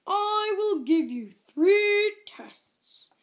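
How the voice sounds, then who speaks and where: Grumpy ogre, as a woman storyteller puts him on, slow booming words, storybook villain. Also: animated, exaggerated voice. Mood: stern. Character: grumpy ogre guarding his orange grove.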